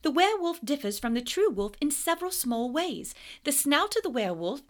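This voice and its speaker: A woman's voice: high voice, big pitch swings, pitched above the performer's own voice. A woman doing an eager know-it-all schoolgirl. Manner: quick, keen and pleading.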